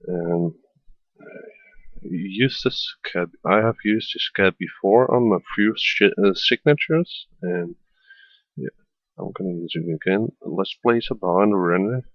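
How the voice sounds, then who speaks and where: Slow, low-voiced Dutch man. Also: no echo, lo-fi sound. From Netherlands. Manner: hesitant, many fillers. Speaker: tutorial maker. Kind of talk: screen-recorded software tutorial voiceover. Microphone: voiceover at a computer.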